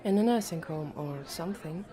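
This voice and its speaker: A woman as a mellow, stubborn teenage boy. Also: on the low side, brisk, a faint crowd, natural voice. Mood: uncertain.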